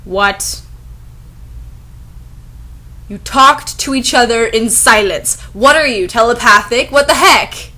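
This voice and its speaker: A woman with a bright, forceful voice reviews bad fanfiction. She takes her time, sounding exasperated and sarcastic. The pitch sits a little high, and the style is comic commentary around a dramatic reading. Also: slow pace, pauses often.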